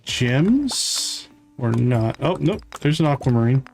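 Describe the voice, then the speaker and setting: A man hosting a livestream, giving casual gameplay commentary and thinking aloud in an unhurried way. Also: medium pitch, sing-song, gravelly, a little mellow, faint music behind. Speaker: livestream host.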